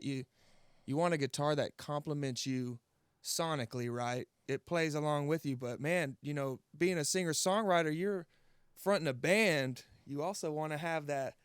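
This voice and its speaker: A man hosting a casual interview, laid-back and upbeat. His voice sits a bit high and sounds smooth and clear. It is recorded dry and close. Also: normal speed, dry recording. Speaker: interview host.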